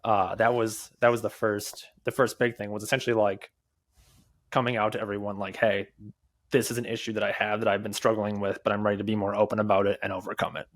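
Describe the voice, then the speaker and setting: A young adult man who stutters, speaking as a podcast guest in casual talk, with frequent fillers, pauses and restarts. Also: lowish voice, level tone.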